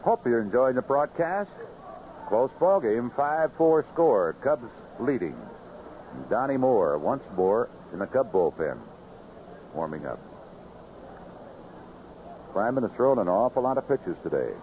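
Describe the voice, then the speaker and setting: An American man calling baseball play-by-play live on the radio in clipped phrases, in an animated manner. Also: mid-range voice, a little slow, long pauses. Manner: animated calls rising sharply on big plays, clipped phrases. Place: ballpark broadcast booth. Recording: noisy, muffled vintage AM radio broadcast.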